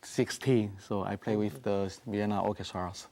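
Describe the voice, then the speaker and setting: Halting low-voiced man, tinged English. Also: steady tone, gravelly, darkish tone. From Taiwan. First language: German. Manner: halting, searching for words, many restarts and fillers. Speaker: guest concert pianist. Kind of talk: studio interview. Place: TV studio. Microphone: lapel mic.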